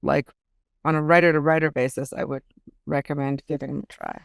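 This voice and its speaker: A woman's voice: sits low, fairly even intonation, brisk, gravelly, no echo, clean studio sound. A woman speaking as a guest author in an interview, explaining things in an informal, conversational way.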